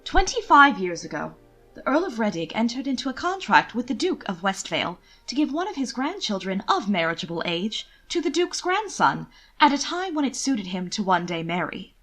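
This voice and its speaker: A woman reading scripted period fiction aloud as an audiobook narrator, in an expressive, theatrical storytelling manner. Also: a little high, moderate pace, faint music behind.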